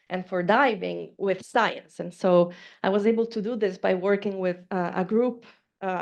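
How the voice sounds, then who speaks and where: A woman from Costa Rica giving an academic seminar talk, earnest and halting, with many fillers and restarts. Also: mid-range voice, a little mellow. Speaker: seminar speaker. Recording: dull lo-fi lecture recording.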